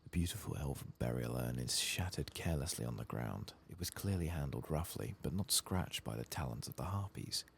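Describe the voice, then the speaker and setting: A man softly narrating a video game in ASMR style, murmuring and often slipping into a whisper. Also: extremely low pitch, lively intonation, fairly quick, vocal fry, few pauses, slight background noise. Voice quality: soft, often breaking into whisper. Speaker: ASMR gaming host. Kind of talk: soft-spoken and whispered ASMR game playthrough.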